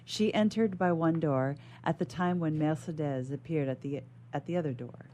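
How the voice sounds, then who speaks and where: A woman's voice: deep voice, moderate pace, warm tone, slight background noise. A woman reading a classic novel aloud as a guest reader on a radio show.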